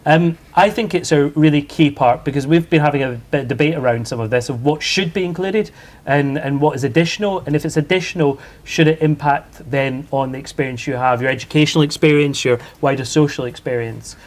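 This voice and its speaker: A man's voice: on the high side, fairly quick. A man from university staff answering student questions on a panel, chatty and hesitant, with many fillers and repeated words.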